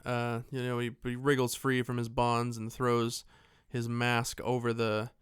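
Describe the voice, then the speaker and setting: A male podcast co-host with a clear, smooth voice at a medium pitch. He recaps films casually in a rambling, joking manner, recorded close and dry. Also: monotone, a little slow, a bit bright, dry recording. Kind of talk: casual comic film recap.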